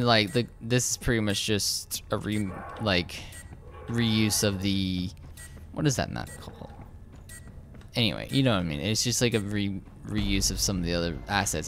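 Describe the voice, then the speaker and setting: A young man, slightly hoarse, casually commenting over his gameplay, rambling with lots of fillers and restarts. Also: medium pitch, slight background noise. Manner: rambling, hesitant, frequent filler words and restarts. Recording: game gunfire loud behind voice. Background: shooter game sounds and gunfire.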